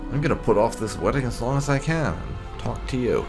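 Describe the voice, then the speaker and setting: A man's voice: mid-range voice, expressive, brisk, creaky, music in the background. A man giving roleplay commentary over a game playthrough, musing and hesitant, with a dry delivery. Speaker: gaming video host.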